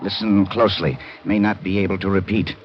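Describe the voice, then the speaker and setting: A terse field agent calling from an outpost in a fifties radio play. His low voice is urgent and comes through a dull, noisy shortwave transmission. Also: steady tone, brisk. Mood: urgent, secretive. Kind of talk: 1950s radio drama acting. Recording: muffled, noisy old radio recording.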